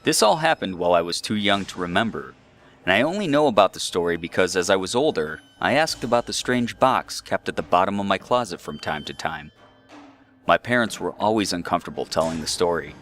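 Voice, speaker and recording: A man reading a scripted first-person horror story as narrator. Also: sits low. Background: eerie background music.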